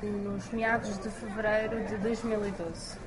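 A woman lecturer with a European Portuguese accent, answering in a measured way with hesitant fillers. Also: medium pitch, little pitch movement, over a noisy crowd. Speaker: university lecturer. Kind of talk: interview answers. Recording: loud background noise.

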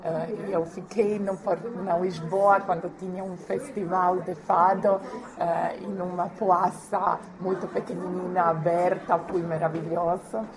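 A woman from Croatia talking casually as an interview guest, in careful, halting non-native Portuguese with occasional Spanish slips. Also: on the low side, flat delivery, a crowd in the background, rough recording.

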